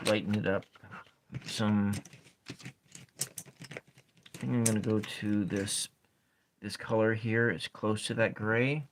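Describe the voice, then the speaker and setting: A calm, soft-spoken man with a fairly low voice talks very slowly during a relaxed ASMR art stream. He chats quietly with viewers and meanders between thoughts as he colors, often trailing off and picking the sentence back up. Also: a little hiss or hum. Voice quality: calm speaking voice. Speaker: streamer drawing and coloring tarot cards.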